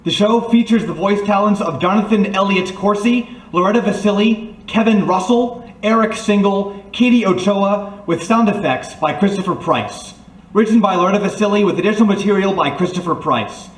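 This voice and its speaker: High-pitched male radio announcer. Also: moderate pace. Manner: dramatic, formal delivery. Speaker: announcer and narrator. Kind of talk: scripted old-time radio announcing. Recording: roomy, slightly distant.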